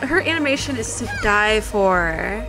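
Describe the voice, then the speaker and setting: A woman giving casual, gushing reaction commentary, rambling excitedly and peppering it with "like". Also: medium pitch, measured pace, music in the background. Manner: excitable, rambling, heavy use of "like". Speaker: video creator reacting to a game trailer.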